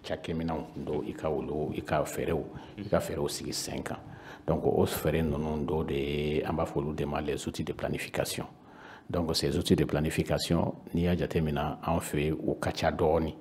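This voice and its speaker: A man's voice: a bass voice, level tone, moderate pace, a little mellow, slight background noise. A man in his fifties with a Malian accent, explaining as a panel guest in a hesitant way, often repeating words. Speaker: regional council official, panel guest. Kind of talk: panel discussion, explaining in Bambara mixed with French. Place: indoor panel room. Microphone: handheld microphone with foam cover.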